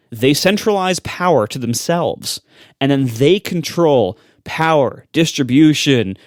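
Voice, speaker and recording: A man's voice: fairly high pitch, no echo, clean studio sound. A man co-hosting a tech podcast, talking in an emphatic, skeptical rant. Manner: animated, emphatic, skeptical ranting. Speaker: podcast co-host. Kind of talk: casual tech chat.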